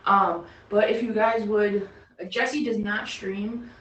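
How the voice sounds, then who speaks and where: A woman's voice: mid-range voice, fairly even intonation, slow pace, fairly bright tone. Late-20s female stream host, casual and chatty, roomy distant sound. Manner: chatty, laughs easily, addresses the viewers. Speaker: livestream host. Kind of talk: casual chat with a friend. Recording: roomy, distant mic with faint background noise.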